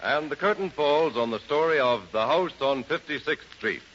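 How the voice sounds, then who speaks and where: A man's voice: a little high, animated, normal speed. An American man hosting a 1930s radio show in a formal, courtly announcing style. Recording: dull, noisy 1930s radio recording.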